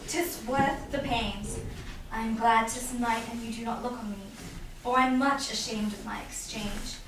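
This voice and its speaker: A woman's voice: fairly high pitch, steady tone, unhurried, natural voice. A woman playing a spirited, lovestruck young daughter in a staged Shakespeare reading, with an eager, theatrical delivery. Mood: eager, coy. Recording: very echoey room, distant, clear noise.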